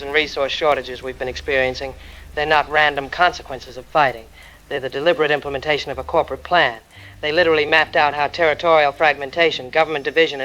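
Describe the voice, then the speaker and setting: Conspiracy investigator, audio drama, male actor: emphatic, grave, sharp-edged, slightly raised pitch. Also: lightly acted, low-quality recording.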